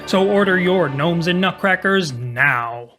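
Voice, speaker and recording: A man's voice: high-pitched, expressive, measured pace. A man reading a cheerful scripted product ad with a salesy delivery. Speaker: ad narrator. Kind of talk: upbeat scripted ad read. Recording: music bed behind.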